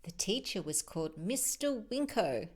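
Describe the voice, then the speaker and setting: Female storyteller for preschool picture books: slow delivery, lively intonation, chatty explanatory asides and questions to the child. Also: medium pitch. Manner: expressive, explains pictures, puts questions to young listeners.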